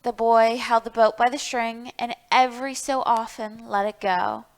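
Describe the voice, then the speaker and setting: A woman's voice: on the high side, steady tone, moderate pace, low-quality recording. A woman hosting a children's storytime, reading aloud and explaining things in an encouraging way, speaking directly to young listeners. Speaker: library storytime host.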